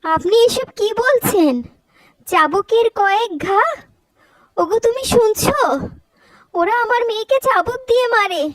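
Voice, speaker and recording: A woman's voice: very high-pitched, no room sound, low-quality recording. A woman voicing an anxious, emotional middle-aged mother in a cartoon, with a fretful, emphatic delivery. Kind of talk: Bengali cartoon voice acting.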